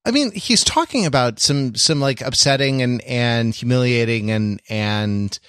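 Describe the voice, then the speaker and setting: A man in a casual, analytical podcast discussion, speaking haltingly as he thinks aloud and qualifies himself. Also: medium pitch, expressive, a bit bright, clean tone, no room sound, clean studio sound. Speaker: podcast panelist.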